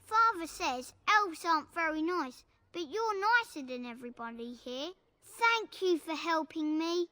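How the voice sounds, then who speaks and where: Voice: very high-pitched, animated, pushed and bright, no echo, exaggerated voice. An actor voicing a lost, trusting young child who speaks earnestly and simply in a video game. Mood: amazed, grateful. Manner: earnest, halting, simply worded.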